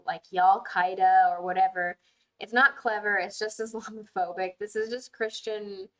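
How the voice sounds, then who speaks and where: A woman host giving earnest, emphatic casual commentary while playing a video game, muttering asides to herself as she plays. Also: medium pitch.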